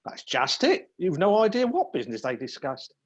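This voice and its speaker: A woman's voice: on the low side, big pitch swings, moderate pace, slight background noise. A woman in a radio play voicing an earnest, apologetic male police detective sergeant. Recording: dull lo-fi streamed audio.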